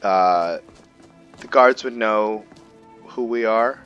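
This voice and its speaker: Male gaming host, deep voice, casual rambling commentary, lo-fi sound over faint music. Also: slow, fairly bright tone, clear, resonant tone. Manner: rambling, thinking aloud. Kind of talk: casual roleplay game commentary. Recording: dull lo-fi mic under music. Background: game music.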